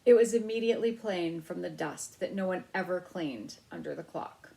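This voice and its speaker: A woman's voice: fairly low pitch, moderate pace, gravelly, mellow, low-quality recording. A woman storyteller reading a children's novel aloud.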